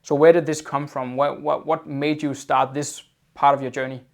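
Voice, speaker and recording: A man's voice: mid-range voice, flat delivery, moderate pace, vocal fry. Man hosting a video-call podcast. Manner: often repeats his opening words, then talks on fluently. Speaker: podcast host. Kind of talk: casual video-call interview.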